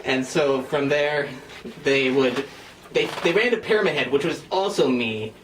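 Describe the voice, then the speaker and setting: A man's voice: mid-range voice, measured pace. A man in his twenties chatting casually as host, rambling and self-mocking as he explains his own writing. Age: 20s. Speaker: host and scriptwriter presenting old scripts. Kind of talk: casual, giggly group chat. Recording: echoey room, distant mic, background noise.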